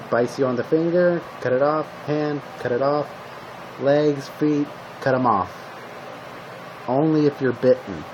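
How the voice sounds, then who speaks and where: Halting zombie survivor played by a man, noisy recording. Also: mid-range voice, close to an everyday voice. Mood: grave, matter-of-fact. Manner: halting, repetitive, conversational, matter-of-fact. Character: male zombie apocalypse survivor giving tips to a guest. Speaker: roleplay video host. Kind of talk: improvised survival roleplay, casual instruction. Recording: steady background hum, noisy home recording.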